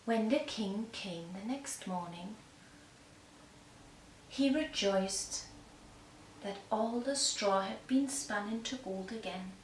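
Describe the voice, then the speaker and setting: A woman storyteller narrating a fairy tale for young children with measured, deliberate phrasing. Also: mid-range voice. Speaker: storyteller and puppeteer. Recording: echoey room, distant mic, faint noise.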